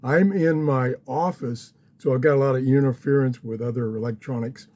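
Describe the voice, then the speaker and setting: A man giving a casual, unscripted explainer as a hobbyist host, speaking haltingly in short phrases with pauses. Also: medium pitch, fairly even intonation, dark tone. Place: small home office.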